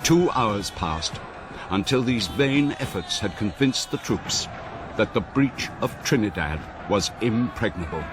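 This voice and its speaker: An actor playing a grave, impassioned historian who chronicles a siege in solemn, measured, oratorical radio narration. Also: male voice, fairly low pitch, lively intonation, background noise. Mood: urgent, grand. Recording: radio drama with music behind.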